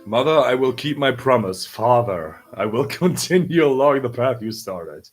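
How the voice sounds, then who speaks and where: A man's voice: medium pitch, lively intonation, moderate pace, soft background music, natural voice. A man reading as an earnest, resolute boy shogun, speaking in formal, declamatory speeches. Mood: solemn vow.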